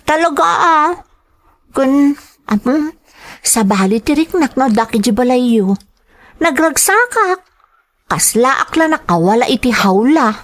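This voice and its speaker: A woman's voice: sits high, very animated, unhurried, pauses often. A woman playing a cheerful, sheltered young student in a radio drama, eager and girlish, with an Ilocano accent. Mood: delighted. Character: cheerful young female student, sheltered rich best friend.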